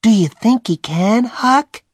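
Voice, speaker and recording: A reader voicing a nervous young boy whispering in the dark. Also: medium pitch, animated, slow, husky, no echo, studio quality, voice pushed up. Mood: tense whisper. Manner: tense hushed whispering. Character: nervous boy Tom, bold but tense.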